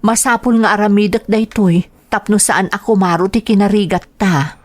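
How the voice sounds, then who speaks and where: A woman's voice: medium pitch, moderate pace, dry recording, lightly acted. A woman with an Ilocano Filipino accent playing a weary, devoted mother in an audio drama. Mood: weary, resolute. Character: weary, devoted widowed mother addressing her child.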